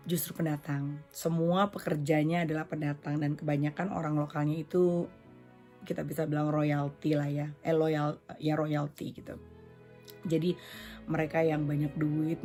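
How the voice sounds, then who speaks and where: A woman's voice: low-pitched, mellow. A woman hosting a casual solo podcast, chatting conversationally and mixing in English phrases. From Indonesia. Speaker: podcast and vlog host.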